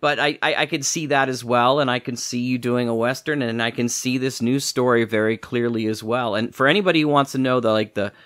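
A man's voice: mid-range voice, fairly even intonation, fairly quick, hardly any pauses, a bit bright, no room sound. Podcast host guy, rambly and encouraging, mid voice, really dry close sound. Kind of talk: casual interview chat.

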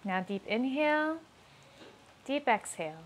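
Slow, calm woman guiding affirmations. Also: fairly high pitch, slight background noise. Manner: calm, measured, repeats each line twice. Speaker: affirmation guide. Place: outdoors on a rainy day.

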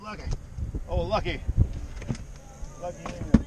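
A man fishing from a pontoon boat, calling out excitedly and cursing. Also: high voice, a little slow, very noisy. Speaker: angler. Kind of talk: casual chat while fishing. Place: pontoon boat on a lake, outdoors.

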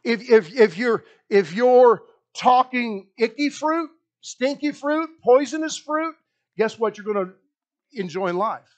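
A middle-aged man with a Kentucky accent preaching a lively, animated sermon in a small church hall on a handheld mic, often repeating himself as he paces the stage. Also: very high voice, measured pace, highs cut off. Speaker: pastor. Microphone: handheld mic, filmed by a far wide camera.

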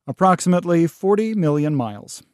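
Man playing self-assured scholarly professor, higher-pitched. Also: expressive, a little mellow, dry recording, clean studio sound, natural voice. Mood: dismissive, assured. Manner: measured, formal, scholarly. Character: dismissive, self-assured male astronomy professor. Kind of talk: 1930s radio drama acting.

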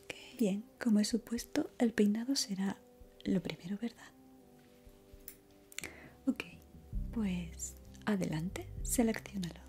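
A young woman whispering softly and breathily in a slow, soothing ASMR roleplay as a barber. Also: medium pitch, soft background music. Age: young adult.

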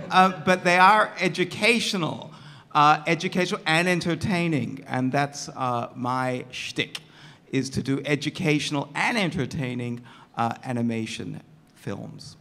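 A man's voice: a little high, measured pace, vocal fry, bright, forceful tone, in a large hall. A man from Sydney, Australia, introducing himself on an animation panel with self-deprecating jokes. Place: gallery stage before a large audience. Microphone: table mic.

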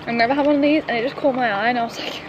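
Young woman, high voice, chatty vlog talk, handheld camera, outdoor crowd and traffic noise. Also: fairly even intonation, highs cut off. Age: young adult. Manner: chatty, bubbly, quick asides to camera. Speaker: vlogger. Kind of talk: casual vlog chat. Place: outdoors in a town, lawns and streets. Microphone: handheld camera at arm's length.

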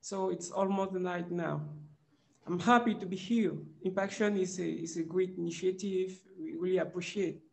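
A man's voice: high voice, steady tone, normal speed, vocal fry, darkish tone. A man with a Cameroonian accent giving brief thanks as a participant on a video call. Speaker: competition participant. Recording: faint noise on video call.

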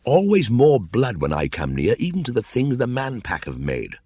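A man's voice: fairly low pitch, huge pitch swings, measured pace, no room sound, voice pushed up, heavily acted. A man voicing an earnest young jungle boy, speaking in a raised, eager voice.

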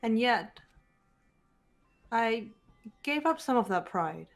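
A woman voicing a composed, guarded teenage girl detective in a video game, speaking in a measured, serious way, with bitterness held in check. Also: a little high, lively intonation. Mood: cool, resolute. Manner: measured, serious, deliberate delivery. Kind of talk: amateur video game voice acting. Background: background music.

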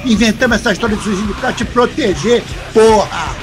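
A man acts out a middle-aged tyrant of a father in an audio drama. His voice is high for a man, aggressive and full of curses and threats, with music clearly playing behind it. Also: brisk, lightly acted. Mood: bitter, angry. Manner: aggressive, cursing, barking orders.

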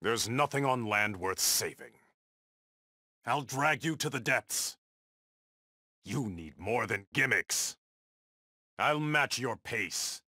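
A proud undersea king from a fighting game, speaks in regal declarations. His delivery is defiant and stern, unhurried yet forceful, with big swings in pitch. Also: animated, no room sound, studio quality, exaggerated voice. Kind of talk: video game battle dialogue.

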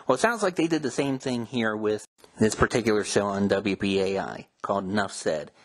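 A man's voice: fairly low pitch, expressive, normal speed. A man speaking as a host, introducing and explaining program segments to listeners. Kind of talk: scripted show narration.

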